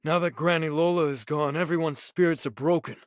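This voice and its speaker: Guy doing hot-headed loyal young pirate, anime game, earnest, slightly high male voice, dry audio. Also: steady tone, fairly quick, dry recording, lightly acted. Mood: earnest, indignant. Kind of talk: scripted anime game dialogue.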